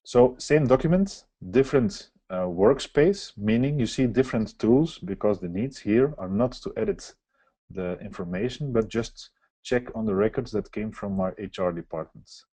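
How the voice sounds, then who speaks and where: Man for a webinar software walkthrough; explanatory, mellow tone, dry close sound. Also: fairly low pitch, expressive, moderate pace, dry recording. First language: Dutch. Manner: explanatory, walks through on-screen steps. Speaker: software presenter. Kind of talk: live software demo webinar.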